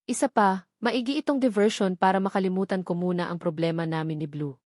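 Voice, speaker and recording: A woman's voice: medium pitch, fairly quick, darkish tone, no echo, studio quality. A woman reading a first-person story as an audiobook narrator.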